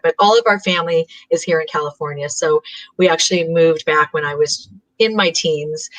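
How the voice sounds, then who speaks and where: A woman's voice: sits low, rough recording. An American woman chatting in a friendly way as a guest on an online panel. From United States. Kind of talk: casual chat.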